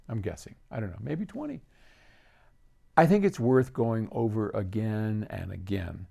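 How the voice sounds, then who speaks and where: A man's voice: medium pitch, vocal fry, a little mellow. An older man hosts casually, answering a viewer's question in an emphatic, opinionated way. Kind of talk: casual explanatory talk to camera.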